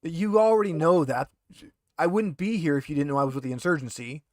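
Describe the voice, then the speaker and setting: A man's voice: on the high side, fairly quick, a little mellow, no room sound, studio quality, close to an everyday voice. Man acting out a sputtering, defensive handcuffed prisoner. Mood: flustered, evasive. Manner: sputtering, indignant, stammering. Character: short balding insurgent prisoner, defensive and evasive.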